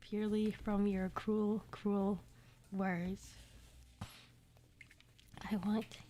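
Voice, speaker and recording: Woman acting submissive young man, whiny, begging, slow mellow delivery, fan fiction reading. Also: mid-range voice, flat delivery.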